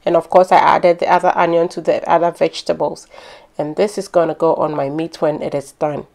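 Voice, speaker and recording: A woman home cook with a Ghanaian accent hosts in a slightly low voice. She explains each step conversationally, slipping into chatty storytelling as she goes. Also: flat delivery, fairly quick, vocal fry, darkish tone. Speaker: home cook hosting a cooking channel. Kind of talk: instructional cooking narration, conversational.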